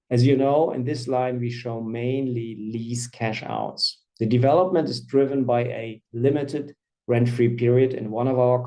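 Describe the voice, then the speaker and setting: A man in his fifties, a company CFO, presenting quarterly results on an earnings call in a measured, formal way. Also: mid-range voice, dark tone, poor audio. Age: 50s. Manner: measured, formal reading of financial figures.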